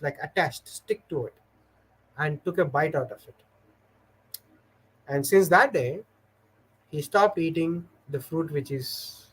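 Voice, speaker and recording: A man's voice: a little high, low-quality recording. A man hosting a casual livestream, chatting with viewers in a rambling, hesitant way full of fillers, and telling stories. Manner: storytelling. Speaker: livestream host. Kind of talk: casual livestream chat, reading viewer comments.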